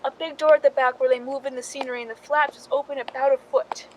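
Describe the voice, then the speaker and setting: Casting: actress as scheming jealous woman, high voice, confessional suspense narration for radio drama, slightly noisy recording. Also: fairly even intonation, quick pace, lightly acted. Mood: tense, urgent.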